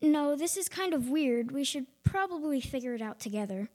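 Carefree, high-voiced boy guest played by a girl. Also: lively intonation, normal speed, darkish tone. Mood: puzzled. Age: child or teenager. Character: carefree young male party guest, evasive. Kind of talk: amateur youth radio play.

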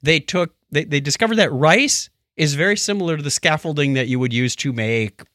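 A man's voice: fairly high pitch, fairly quick, a bit bright, no echo, studio quality. A man co-hosting a casual podcast about news stories, chatting in a joking manner.

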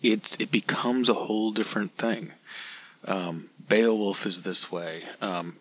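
A man's voice: on the low side, pushed and bright, poor audio. A man hosting a podcast in a conversational commentary style. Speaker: podcast host and novelist.

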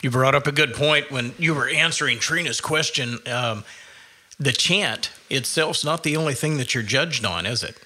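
A man's voice: mid-range voice, normal speed, sharp tone. A man hosting a podcast interview, asking conversational follow-up questions. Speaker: podcast host. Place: studio.

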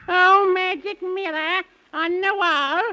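A woman playing a wicked old witch with a shrill, theatrical voice in a 1930s children's radio play. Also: dull audio, full character voice.

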